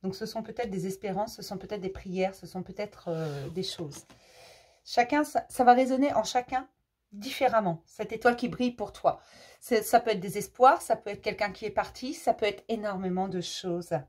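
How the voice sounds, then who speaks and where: A French woman with a cold, doing a casual tarot card reading for her viewers, gentle and hesitant, with many fillers and restarts. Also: medium pitch, moderate pace, breathy edge. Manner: affectionate toward viewers. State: has a cold.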